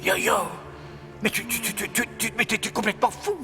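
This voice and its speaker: A man voicing an anxious, polite little sidekick in an animated fairy tale, with a nervous, deferential manner. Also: high-pitched, moderate pace, gravelly, over music. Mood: alarmed. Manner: nervous, deferential, stammering when alarmed.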